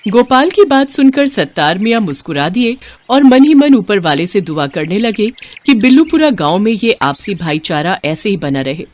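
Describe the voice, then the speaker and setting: A woman's voice: medium pitch, big pitch swings, normal speed, hardly any pauses, dry recording. A woman narrating a scripted moral story as a storyteller.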